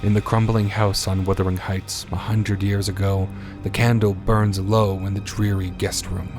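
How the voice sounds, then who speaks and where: A man's voice: deep voice, little pitch movement, normal speed. A man announcing and narrating a radio drama in a scripted, old-time style. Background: music.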